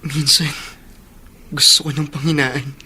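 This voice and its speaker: A man's voice: on the high side, little pitch movement, unhurried, sharp tone, a little hiss or hum. A man acting an afflicted elder brother in a radio drama, sincere and heavy-hearted. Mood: despairing. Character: earnest, afflicted elder brother clinging to faith.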